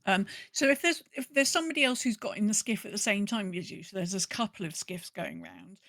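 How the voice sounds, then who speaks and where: A woman's voice: mid-range voice, sing-song, brisk, gravelly, fairly bright tone, no room sound. A woman co-hosting a casual gaming podcast, chatty, often voicing imagined remarks as she explains. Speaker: podcast co-host. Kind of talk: casual chat about a video game.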